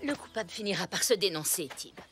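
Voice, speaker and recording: A woman's voice: fairly low pitch, lively intonation, quick pace, a bit bright, faint music behind. A woman playing a protective, firm mother in a cartoon, with a motherly, scolding manner. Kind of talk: French cartoon dub acting.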